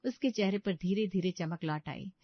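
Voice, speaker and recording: A woman's voice: on the low side, moderate pace, no echo, lo-fi sound. A woman reading scripted bedtime story narration.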